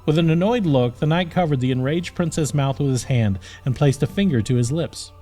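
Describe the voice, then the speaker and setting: A man reading a fantasy audiobook as narrator, in an expressive, scripted storytelling style. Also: a little high, fairly quick, soft background music.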